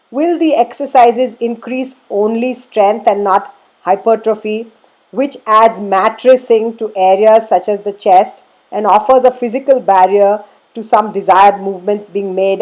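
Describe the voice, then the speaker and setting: Woman, slightly high voice, scripted instructional narration, formal, measured delivery. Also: darkish tone, rough recording. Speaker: golf instructor presenting.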